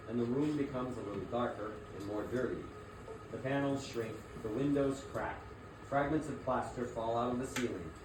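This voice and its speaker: A man's voice: medium pitch, noisy background. A man narrating a scripted story as a dramatic reading. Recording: very echoey hall, distant mic, music behind.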